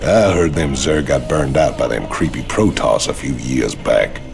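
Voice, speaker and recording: An actor playing a hulking, swaggering ex-convict outlaw in a video game, with a gravelly Southern American drawl and a gruff, mocking manner. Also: male voice, a bass voice, unhurried, music in the background, exaggerated voice. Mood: sly, drawling. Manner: drawling, cocky teasing. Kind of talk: video game cutscene acting.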